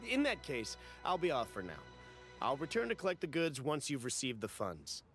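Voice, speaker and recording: A man playing a polite, agreeable merchant customer in a video game, speaking in a deliberate, expressive way. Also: medium pitch, faint music behind. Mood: polite, businesslike. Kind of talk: video game character dialogue.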